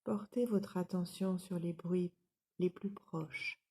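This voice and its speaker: Very slow, dark-voiced woman guiding relaxation. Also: lowish voice. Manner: calm, soothing, measured instructions with soft breathy moments. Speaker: yoga nidra relaxation instructor. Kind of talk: guided relaxation meditation.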